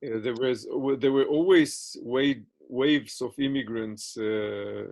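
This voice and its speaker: A man's voice: medium pitch, slow, creaky, darkish tone. A man giving interview answers in English, which is not his first language, with many fillers and restarts.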